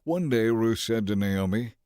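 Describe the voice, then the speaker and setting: A man's voice: fairly low pitch, expressive, moderate pace, darkish tone, clean tone, dry recording, studio quality. A man reading as the narrator of a dramatized audiobook, in scripted storytelling style.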